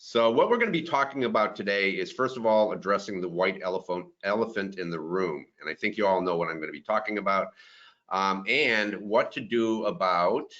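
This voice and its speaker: A man in his fifties hosts a career webinar in an upbeat moderator's manner, running polls and passing questions along. His voice sits low and comes through muffled, dull webinar audio. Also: expressive, fairly quick, a bit bright. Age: 50s. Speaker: webinar host.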